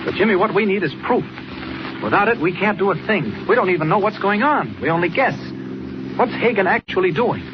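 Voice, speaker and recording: An actor with an American accent playing a brisk, resolute investigator hero in a 1940s radio serial, urgent and authoritative. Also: male voice, high voice, lively intonation. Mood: urgent, decisive. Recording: muffled, very noisy old radio recording.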